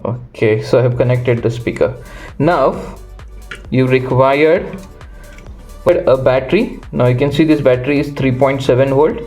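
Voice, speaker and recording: A man walks through a hands-on electronics build. His voice is mellow and mid-pitched. He speaks in a halting, unscripted way, pausing mid-phrase, with music playing behind him. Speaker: electronics tutorial host. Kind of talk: unscripted step-by-step hands-on tutorial.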